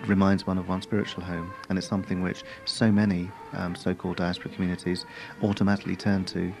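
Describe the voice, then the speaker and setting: A man's voice: deep voice, flat delivery, quick pace, creaky, music in the background, low-quality recording. A man, an arts director, explaining in formal, articulate phrases in an interview.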